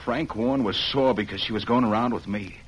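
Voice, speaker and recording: An actor playing a stubborn, vengeful young ex-convict cowboy, defiant and suspicious, in old-time radio western style. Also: male voice, lowish voice, fairly quick, husky, poor audio. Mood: resentful. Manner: defiant, guarded, folksy western talk. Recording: old radio recording with background noise.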